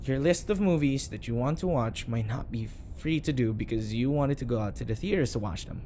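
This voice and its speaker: A man's voice: medium pitch, a little fast, warm tone, a little hiss or hum, close to an everyday voice. A man playing a flustered, obsessively devoted bodyguard in an audio roleplay, earnest and nervously backpedalling. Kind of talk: audio roleplay acting addressed to the listener.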